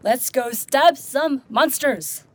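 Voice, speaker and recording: A woman's voice: high-pitched, slow pace. A woman playing a blunt, sarcastic and grumpy young dead woman in a fantasy audio drama. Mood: wry. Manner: sarcastic, casual, slangy.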